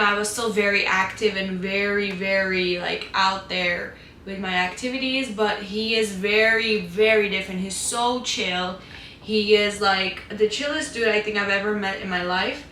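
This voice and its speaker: A woman's voice: mid-range voice, sharp tone, reverberant, a little hiss or hum. A woman in her twenties from Iran with an Armenian accent, chatting casually to her vlog audience while doing her makeup, full of "like" fillers. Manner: chatty, frequent "like" fillers, candid. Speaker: vlogger. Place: at home, getting ready.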